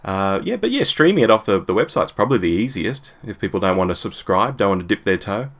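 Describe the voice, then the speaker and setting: A man's voice: fairly low pitch, big pitch swings, quick pace, fairly bright tone. A man in his forties talking casually as a podcast guest in an interview. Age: 40s.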